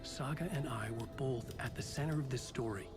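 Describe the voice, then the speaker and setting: An actor playing a troubled, driven writer in a horror game, speaking gravely and earnestly over loud music. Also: male voice, medium pitch, a little fast, lightly acted. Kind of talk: brooding scripted game narration. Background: loud cinematic music.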